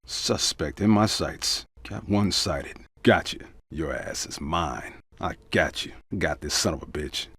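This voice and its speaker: Forceful American cop, game barks. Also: male voice, low-pitched, a little slow, hardly any pauses, slightly rough, exaggerated voice. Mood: low, menacing. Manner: forceful, clipped, profane. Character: tough, urgent male police officer in combat. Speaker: video game player-character voice actor. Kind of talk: short shouted combat barks.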